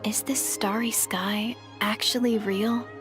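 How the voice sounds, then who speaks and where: A woman's voice: on the high side, fairly even intonation, takes it slowly. An actress playing an earnest, dreamy young village woman adventurer in a video game, speaking sincerely. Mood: wistful. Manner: sincere, wistful storytelling. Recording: music playing behind.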